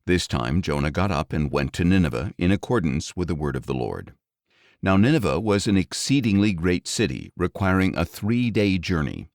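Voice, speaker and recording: Deep-voiced man narrating scripture expressively. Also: big pitch swings, moderate pace, no echo, studio quality. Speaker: audiobook narrator.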